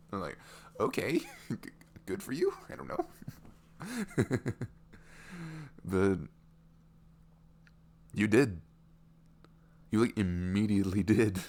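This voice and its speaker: A man playing a smitten, vulnerable boyfriend in an intimate ASMR roleplay, musing haltingly in a voice that often softens toward a whisper. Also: big pitch swings, exaggerated voice. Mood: wry, self-deprecating. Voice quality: low, creaky voice, often dropping toward a whisper. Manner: halting, musing, frequent "you know" and soft chuckles. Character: smitten, vulnerable young neurodivergent boyfriend meeting online love. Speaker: ASMR audio roleplay performer.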